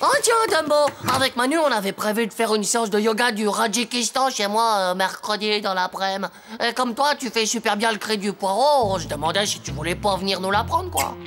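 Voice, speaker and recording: A woman voicing a cheeky, dramatic schoolboy who grumbles in slang, in an animated series. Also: mid-range voice, a little fast, hardly any pauses, music in the background, lightly acted. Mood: scheming. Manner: slangy, exaggerated complaining. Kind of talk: French animated series dialogue.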